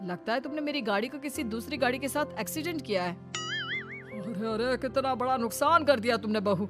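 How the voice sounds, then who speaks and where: A greedy, aggrieved man in a cartoon moral story, put on by a woman. The voice is very high, whiny and exclamatory, with faint music underneath. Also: wildly swooping pitch, moderate pace. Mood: upset. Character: greedy, whiny, indignant man of a duped family. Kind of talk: animated moral story dialogue.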